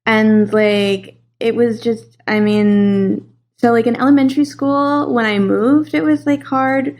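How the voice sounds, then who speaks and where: A woman's voice: a little high, fairly even intonation, measured pace, darkish tone. A young woman from California chatting as an interview guest, talking loosely with many fillers and frequent laughs. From California, USA. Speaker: casting and voice director, guest. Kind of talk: casual interview chat.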